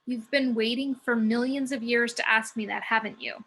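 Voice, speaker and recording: Woman playing a bright-voiced, scornful celestial muse. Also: on the high side, fairly even intonation, normal speed, breathy edge. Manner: emphatic, sardonic, sharp-tongued. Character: blunt, scornful female celestial muse scolding her fallen brother. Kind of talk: amateur table reading of a film script.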